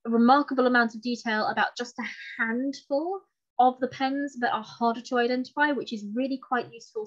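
A woman's voice: a little high, a bit bright. A woman, giving an informal, chatty, self-deprecating online presentation. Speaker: presenter giving a talk on fountain pens. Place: indoor room at home, on a video call. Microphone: video call microphone. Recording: dull lo-fi video call audio.

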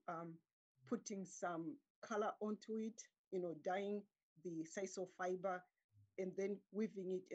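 A middle-aged woman from Zimbabwe, calmly answering interview questions on a video call from a room at home. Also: lowish voice, breathy edge, dull audio. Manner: calm, measured, with frequent um pauses. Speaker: guest artist. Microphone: laptop webcam on a video call.

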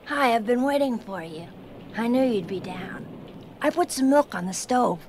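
A precocious little boy, played by a woman, calm and sure of himself in an audio drama. The voice is very high, over faint background noise. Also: moderate pace, lightly acted, low-quality recording. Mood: calm, helpful. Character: precocious small boy, calm, kindly, self-assured.

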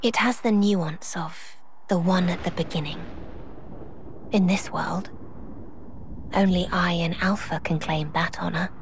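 A woman playing a composed, enigmatic guide in a video game, speaking in a measured, formal way. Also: fairly low pitch, steady tone, a little hiss or hum. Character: enigmatic, composed female guide of ancient knowledge.

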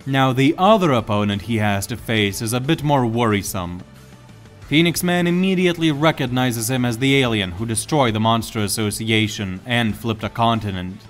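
A man's voice: mid-range voice, normal speed, soft background music. A man reading scripted, dramatic story narration as the narrator.

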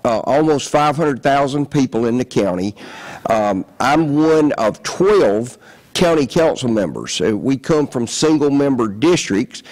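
An older man with a Southern accent talks from a podium in a large conference hall. His delivery is folksy and chatty, full of ums and restarts, at a mid-range pitch. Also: darkish tone. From South Carolina. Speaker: county councilman giving a conference talk. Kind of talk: conference presentation. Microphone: podium microphone.

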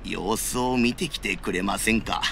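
A man voicing a worried elderly father in an anime game, gruff and gravelly, with old-fashioned speech. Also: lowish voice, fairly bright tone, slight background noise. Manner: old-man speech, slow-worded entreaty.

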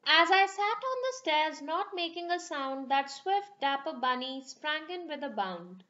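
A woman's voice: high-pitched, sing-song, measured pace, bright, forceful tone, muffled recording. A woman reading a rhyming picture book aloud for children in a sing-song storytelling manner.

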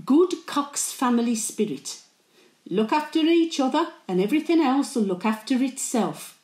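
An elderly working-class Birmingham great-grandmother in a dramatic monologue. Her voice is fairly high and very lively in pitch, as she rambles along cheekily and fondly with her gossip. It sounds roomy and echoing. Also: normal speed. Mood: gossipy. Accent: Birmingham (Brummie). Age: older. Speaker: actor performing a dramatic monologue. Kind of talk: chatty character monologue.

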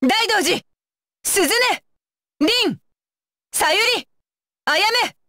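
A woman's voice: extremely high pitch, expressive, takes it slowly, bright, forceful tone, dry recording, clean studio sound, exaggerated voice. A woman voicing a stern, dutiful young female ninja bodyguard for an anime game, with clipped, commanding and blunt phrasing. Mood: announcing, raised. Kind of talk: Japanese anime game voice lines.

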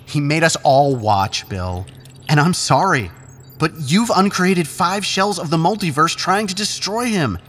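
An actor playing an anguished, defiant brother and reluctant accomplice in an audio drama, pleading and emphatic. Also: male voice, fairly high pitch, animated, a little slow, fairly bright tone. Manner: pleading, emphatic, stammering when upset. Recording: long cavernous reverb.

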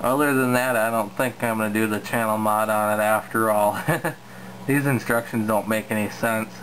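Flat-toned man over clear background noise. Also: on the low side, moderate pace. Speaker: radio hobbyist presenting a demo. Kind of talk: casual hands-on demo talk. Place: indoors at a home radio setup. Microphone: handheld camera filming the equipment.

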